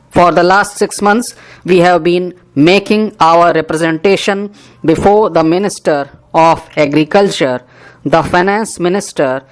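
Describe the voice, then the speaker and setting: A reader, dictating a parliamentary speech slowly, phrase by phrase, for shorthand practice. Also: medium pitch, poor audio. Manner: measured, deliberate phrase-by-phrase reading.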